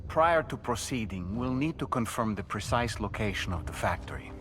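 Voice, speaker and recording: A man's voice: lowish voice, big pitch swings, moderate pace, noisy background. An actor playing a methodical male adviser in a video game, with a formal, precise way of talking. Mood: measured, businesslike. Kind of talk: video game cutscene dialogue.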